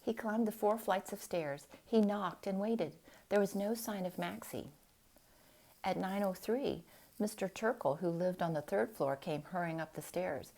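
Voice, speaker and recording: A woman reading a storybook aloud in an expressive style, with deliberate, dramatic phrasing. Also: medium pitch. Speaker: storybook reader.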